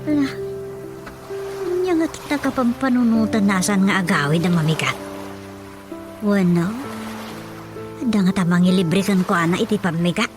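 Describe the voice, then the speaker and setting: A woman with an Ilocano accent playing a nagging, sharp-tongued woman in a radio drama comedy. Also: mid-range voice, animated, normal speed, long pauses, close to an everyday voice. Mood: scolding, teasing. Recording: music behind the voice.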